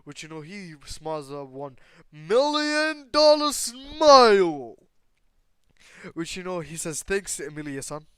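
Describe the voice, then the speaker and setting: A man's voice: high voice, big pitch swings, takes it slowly, clear, resonant tone, dry recording. A man with a clean, resonant voice tells a fan-made anime story off the cuff. He rambles and halts as he goes, swearing casually, in a dry recording with little room sound. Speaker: storyteller and host of a fan what-if channel. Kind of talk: casual improvised retelling of anime fan fiction with acted dialogue.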